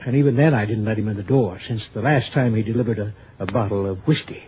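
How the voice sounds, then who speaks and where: An actor playing a flustered, defensive, proper doctor in a 1960s radio drama, speaking formally and hesitantly in a husky voice. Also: male voice, lowish voice, quick pace, slight background noise, natural voice. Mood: flustered, sheepish. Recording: tinny vintage radio recording.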